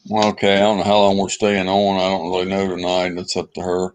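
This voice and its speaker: A man's voice: low-pitched, completely flat delivery, brisk, clean tone. A man hosting a live stream panel, talking in an easygoing casual drawl. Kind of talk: casual chat.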